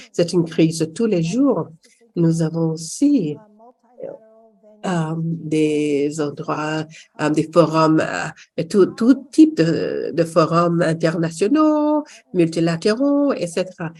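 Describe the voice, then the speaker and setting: A woman's voice: on the low side, warm tone, husky. A woman moderating a panel, speaking hesitantly with frequent fillers.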